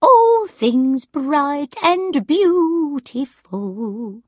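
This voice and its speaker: An eccentric, queenly old lady in a storybook, acted by a woman narrator. Her voice is high and swoops about. She draws her words out in a grand, temperamental way. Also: sing-song, dry recording, studio quality, full character voice. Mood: singing wildly. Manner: crazy high voice, drawn-out delivery.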